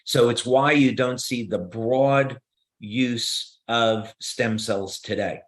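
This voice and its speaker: A man with a New Jersey accent explaining science on a video call, measured and steady, with frequent "uh" fillers. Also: mid-range voice.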